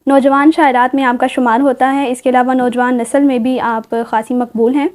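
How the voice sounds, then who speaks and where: A woman's voice: high voice, flat delivery, normal speed, darkish tone. A woman hosting a literary talk show in a polite, appreciative manner.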